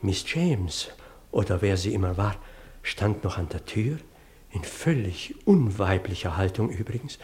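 A man's voice: sits low, expressive, darkish tone. An actor playing a flustered, pedantic parson who tells his dramatic story in in a radio play. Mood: bewildered. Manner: fussy, dramatic, halting when agitated.

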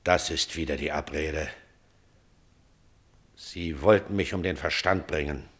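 An actor playing a proud, hot-tempered old nobleman and father in a classical radio tragedy, declaiming with halting, indignant exclamations. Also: very deep voice, fairly bright tone, exaggerated voice. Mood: anguished. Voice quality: gravelly, husky. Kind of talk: classical German stage tragedy as radio drama.

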